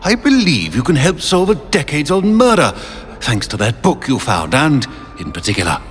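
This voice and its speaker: A man's voice: on the high side, wildly swooping pitch, normal speed. An actor playing a pompous, courtly, nostalgic old British statesman living on as a portrait in a video game. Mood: grand, courteous. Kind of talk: video game character acting. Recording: long reverberant hall, music underneath.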